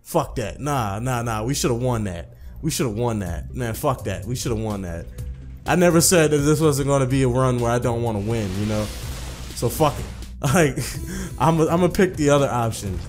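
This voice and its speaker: A man's voice: mid-range voice, animated, normal speed, darkish tone, faint music behind. A man doing a casual let's play, swearing and repeating himself in slang as he comments and reads game text aloud. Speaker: gaming video host.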